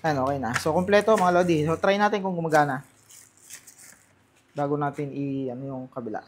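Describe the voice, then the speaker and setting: A man's voice: a little high, a little fast, a little hiss or hum. A Filipino man doing casual unboxing and testing commentary as a vlogger, talking informally straight to his viewers and mixing in English. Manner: informal, addresses viewers directly, mixes Tagalog and English.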